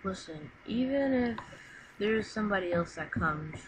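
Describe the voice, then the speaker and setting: A woman improvises dramatic roleplay for a gaming video in a halting, unhurried way. Her voice sits at a middling pitch. Clear noise and clicking run through the recording. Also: expressive. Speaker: roleplay video creator voicing the characters.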